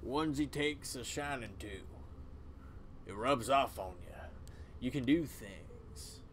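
A man's voice: mid-range voice, slow pace, a bit bright, slight background noise. A man voicing a grim, self-righteous old small-town cult elder with a folksy way of talking.

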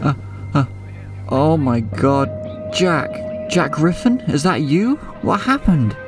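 Guy playing a freaked out captive man, anxious n stammery, bit high pitched, horror audio play with music. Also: very animated, slow pace. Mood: shocked, confused. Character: frightened, bewildered male captive and former cult member. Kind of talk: horror audio drama acting.

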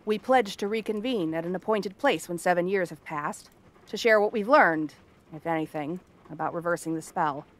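A woman's voice: on the low side, moderate pace, natural voice. A woman playing a cranky exiled princess turned frog girl in a fantasy ASMR roleplay, haughty, sarcastic and theatrical. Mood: matter-of-fact. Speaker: voice actress.

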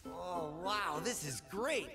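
A man's voice: sits high, lively intonation, slow pace. An actor voicing a cheerful, cocky young male dragon hero in a video game. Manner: cheeky and upbeat. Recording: game audio with music behind.

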